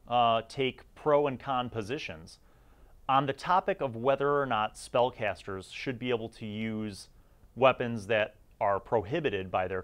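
A middle-aged man with a conversational, reflective manner, hosting a review series in casual commentary. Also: medium pitch. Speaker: host of a gaming review series.

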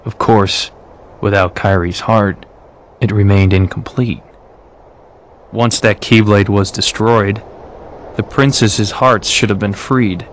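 Young game warrior, level-headed, grave, measured, slightly deep voice, faint background noise. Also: male voice, fairly even intonation. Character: serious, level-headed young male warrior giving counsel. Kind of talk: video game voice acting.

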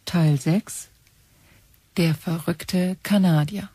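A woman's voice: on the low side, fairly even intonation, extremely slow, slightly rough, dry recording. A woman making a scripted title announcement for a radio drama series. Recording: loud music under voice. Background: loud music.

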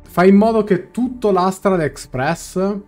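A man's voice: high voice, slow, darkish tone. Young man streaming over game music. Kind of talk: live game reaction, translating dialogue aloud. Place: small room indoors, on webcam.

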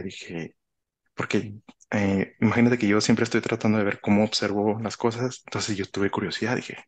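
A man in his thirties with a Mexican accent and a somewhat low voice talks in a casual, explanatory way. He is reflective and halting, often repeating a phrase before carrying on. He speaks into a headset on a video call. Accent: Mexican Spanish. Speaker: author, interview guest. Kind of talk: casual conversational explaining with anecdotes. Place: indoor room, video call.

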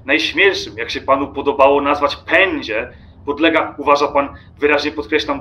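An actor playing an irritable, contemptuous train passenger in an audio drama, lecturing obsessively and emphatically. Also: male voice, on the high side, a bit bright, a little hiss or hum.

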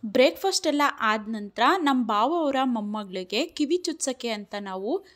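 A young adult woman, giving steady voice-over narration of a family vlog and explaining each scene. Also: a little high, moderate pace. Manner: steady, explanatory, mixes English words into Kannada. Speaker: vlogger.

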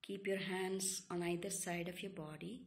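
A woman leads a guided relaxation meditation, giving calm, soothing instructions in short, separated phrases. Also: fairly low pitch, normal speed, gravelly. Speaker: yoga nidra meditation guide.

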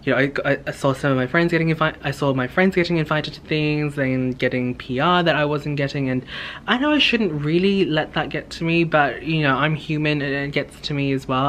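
A young man chats informally to his camera in a home, rambling from one thought to the next. His voice sits at a medium-high pitch for casual talk, with some room noise behind it. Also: a bit bright. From Hong Kong. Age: young adult. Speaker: vlogger, beauty content creator. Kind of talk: casual chatty vlog to camera. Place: indoors in a home living space. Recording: clear background room noise.

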